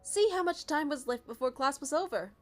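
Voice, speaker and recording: A woman narrates a game story in the first person, reading it aloud. Her voice is high, and faint music plays behind her. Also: lively intonation, a little fast.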